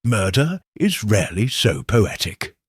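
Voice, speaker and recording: A man voicing a shrewd elderly village spinster, speaking in a gentle, probing, knowing way. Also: fairly low pitch, sing-song, unhurried, slightly rough, no echo, studio quality, heavily acted. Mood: shrewd musing.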